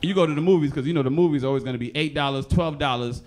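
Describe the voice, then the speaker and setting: A man's voice: a little high, very fast, a little mellow, slight background noise. A young man in his early twenties doing a stand-up comedy set on a club stage, slipping into exaggerated character voices. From Haitian background. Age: young adult.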